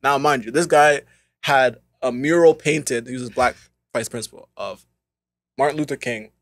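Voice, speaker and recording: A young man, a podcast co-host, telling casual stories with animated voices for the people he quotes. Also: mid-range voice, moderate pace, no room sound. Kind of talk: casual storytelling.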